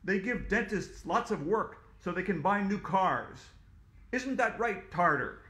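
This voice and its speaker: A man plays a boastful storybook villainess who shouts and blusters. Her voice is raised and loud. It echoes around the room. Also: high-pitched, animated, a little slow, voice pushed up, full character voice. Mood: blustering. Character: boastful female cartoonish supervillain.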